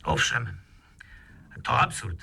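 An actor playing a cornered crime boss in a radio drama, sardonically polite and haggling, with a husky voice over the telephone. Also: male voice, takes it slowly, sharp tone. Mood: irritated haggling.

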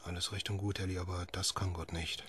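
An actor playing a haunted, grieving middle-aged father in an audio drama, halting and often hushed. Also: low-pitched, level tone, breathy edge, exaggerated voice. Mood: gentle, weary. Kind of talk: audio drama acting, alternating intimate inner monologue and dialogue. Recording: noticeable background noise and ambience.

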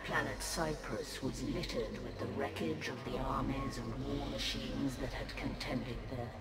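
An actor playing a solemn narrator of a grim sci-fi war game, with measured, portentous scripted delivery. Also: mid-range voice, lightly acted. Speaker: cutscene narrator. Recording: echoing, under loud music. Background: loud cinematic music.

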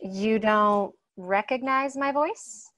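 A woman's voice: on the high side, takes it slowly, fairly bright tone. A woman playing a sweet, forward radio caller in a comedy table read. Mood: coy.

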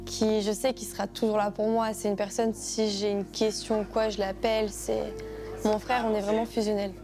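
A woman's voice: mid-range voice, little pitch movement, moderate pace. A woman in her twenties, a tennis player, talking personally in a documentary interview. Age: 20s. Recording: music bed under the voice.

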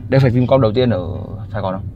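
A man's voice: mid-range voice, rapid-fire, muffled recording. A man, chatting casually to camera in a vlog with plenty of fillers. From northern Vietnam. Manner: chatty, upbeat, filler-heavy. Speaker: vlogger. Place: barbershop and car at night.

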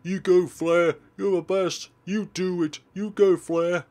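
A man voicing an earnest, excitable high school girl in a visual novel. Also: slow. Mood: excited.

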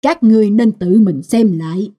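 A woman's voice: medium pitch, normal speed, warm tone, husky, natural voice. A woman playing a stern, wise goddess of love in a cartoon, solemn and admonishing. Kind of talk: Vietnamese cartoon dialogue.

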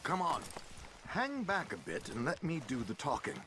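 An actor playing a dapper middle-aged con artist in a western video game, genteel and theatrical, with flowery phrasing. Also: male voice, on the high side, huge pitch swings, normal speed, background noise. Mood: casual, confiding.

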